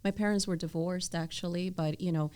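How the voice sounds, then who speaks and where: Low-voiced woman in interview conversation. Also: steady tone, a little fast, darkish tone. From born in Ecuador, raised in New York City. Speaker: podcast guest, mortgage lender.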